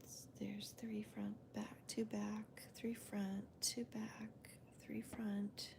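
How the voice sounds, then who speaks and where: A woman hosting a crochet video in a soft, whispery voice, calmly counting stitches aloud as she works. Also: medium pitch, takes it slowly, creaky, background noise. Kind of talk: soft-spoken crochet demonstration and chat.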